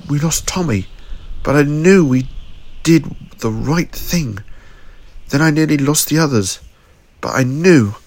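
A man voicing a weary young male team leader, speaking in a quiet, halting, despairing way. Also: fairly high pitch, voice pushed up, full character voice.

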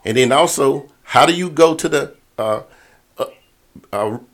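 A man's voice: medium pitch, animated, unhurried. A man leading a conference call, giving an informal briefing to officials and often repeating words and circling back to stock phrases. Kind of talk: informal briefing to volleyball referees.

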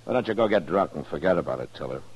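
An American actor playing a stoic, commanding Old West marshal in a 1950s radio western, speaking in terse, calm, firm lines. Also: male voice, low-pitched, racing. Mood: steady, firm. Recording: dull 1950s radio recording, faint noise.

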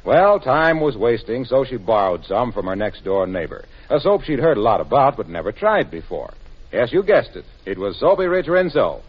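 A man's voice: mid-range voice, sing-song, normal speed, husky. A man announcing in scripted 1940s radio style, narrating to the listener. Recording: dull 1940s radio recording.

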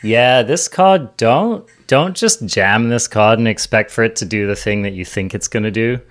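A man's voice: lowish voice, moderate pace. A man hosting a card game discussion, giving casual, conversational commentary and explaining his takes.

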